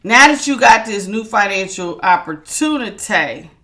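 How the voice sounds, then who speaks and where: A woman with a bright, forceful, projecting voice talks straight to her viewers as she reads tarot cards. Her delivery is emphatic and authoritative, and she doubles down on her points by repeating phrases. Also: mid-range voice, expressive, measured pace. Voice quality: strong, projecting voice. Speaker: tarot reader. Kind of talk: casual tarot reading to viewers.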